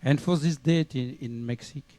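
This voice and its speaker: A man with a French accent hosts a studio music show, searching for his English words and filling gaps with "uh". His voice is dark and mellow, and the sound is dry and close. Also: mid-range voice, no room sound. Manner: halting English with many fillers. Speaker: TV show host. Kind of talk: interviewing guest.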